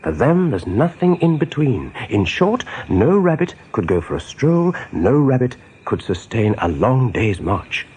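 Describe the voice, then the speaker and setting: A man narrating a scripted radio drama as an all-knowing storyteller, with a husky voice and measured, deliberate delivery. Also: medium pitch, sing-song, darkish tone, slight background noise. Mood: wry, explanatory. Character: omniscient storyteller of a rabbit adventure.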